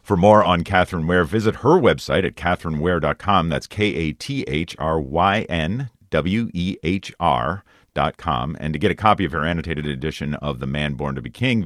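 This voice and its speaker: A man's voice: a bass voice, dry recording, studio quality. A man hosting a conversational radio interview, speaking in an effusive, chatty way. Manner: effusive, chuckles while talking. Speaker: radio show host.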